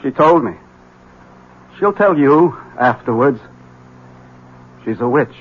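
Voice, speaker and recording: An actor playing a desperate, guilt-ridden man in a 1970s radio drama, speaking in intense, halting bursts. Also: mid-range voice, lively intonation, breathy edge. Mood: grim, urgent. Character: desperate, guilt-ridden man warning a rival about a witch. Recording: dull vintage radio recording.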